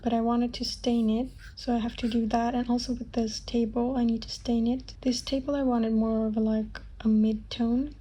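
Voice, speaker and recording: Young woman vlogger from Sweden; casual, soft, mellow, fairly high voice. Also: a little hiss or hum. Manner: soft-spoken, thinking aloud with fillers. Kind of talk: casual home vlog chat.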